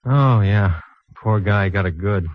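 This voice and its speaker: An actor playing an easygoing, teasing homicide inspector with casual, slangy understatement, in 1940s radio drama style. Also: male voice, fairly low pitch, slow pace, no room sound. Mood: casual, inquiring. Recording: tinny, dry old radio recording.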